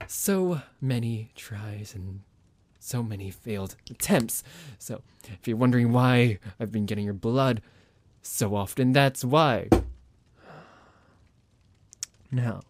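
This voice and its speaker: A man acting out a slow, menacing vampire doctor. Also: mid-range voice, a little mellow, slightly rough. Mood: frustrated muttering, then smug, condescending. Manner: hesitant pauses, mock-polite, drawn-out musing. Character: psychotic male vampire doctor, menacing, condescending, playful captor. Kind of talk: ASMR roleplay monologue.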